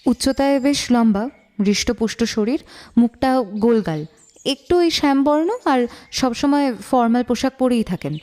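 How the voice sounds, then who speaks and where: A woman playing an irritated, sharp-tongued married woman in an audio detective drama, curt, scornful and suspicious. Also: fairly high pitch, normal speed, close to an everyday voice. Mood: guarded, irritated.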